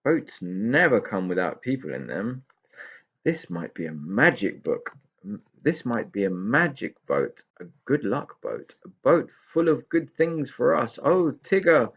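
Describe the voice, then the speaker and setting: A man voicing an eager, excitable young male pixie in a children's storybook, with a husky voice and exclamatory delivery. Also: mid-range voice, a little slow, muffled recording, heavily acted. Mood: excited.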